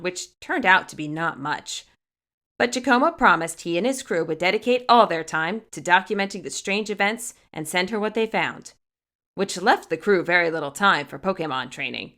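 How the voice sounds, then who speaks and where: A woman reading fan fiction aloud as narrator, in an expressive scripted storytelling style. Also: fairly low pitch.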